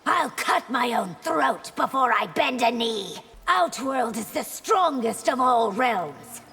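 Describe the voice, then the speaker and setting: A woman voicing a proud, defiant empress in a video game, speaking haughtily in a very husky voice. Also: a little high, expressive, slow pace, sharp tone, in a large hall, exaggerated voice. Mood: indignant, proud.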